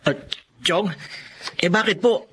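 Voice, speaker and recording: A man's voice: high-pitched, slow pace, a bit bright, slight background noise. An actor with a Filipino accent playing a hardworking, aggrieved boy and loyal son in a radio drama. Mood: nervous refusal. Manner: earnest, plaintive.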